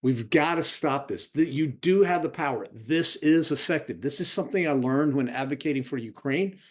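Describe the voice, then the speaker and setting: A man's voice: fairly high pitch, normal speed. An American man speaking emphatically and indignantly as a political activist making his case. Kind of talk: impassioned spoken political advocacy.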